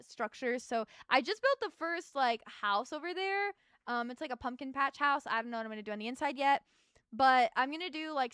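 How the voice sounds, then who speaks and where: A woman's voice: sits high, pushed and bright, clean tone, dry recording, studio quality. A young adult woman streaming as a VTuber, chatting casually with her chat while she builds in a game and thinks aloud.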